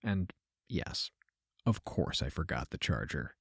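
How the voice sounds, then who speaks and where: A man's voice: extremely low pitch, big pitch swings, measured pace, creaky, husky, dry recording, heavily acted. A man playing a wry, self-deprecating computer scientist, giving a dry, confessional monologue in an audio drama. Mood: dry, reflective. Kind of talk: first-person fiction podcast monologue.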